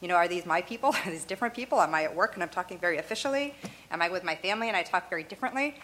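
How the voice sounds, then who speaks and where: A woman's voice: on the low side, lively intonation, racing, fairly bright tone, low-quality recording. A woman whose first language is Arabic, explaining her views in a loose, conversational way with frequent "you know" and "right?" and light laughs. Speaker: interviewee.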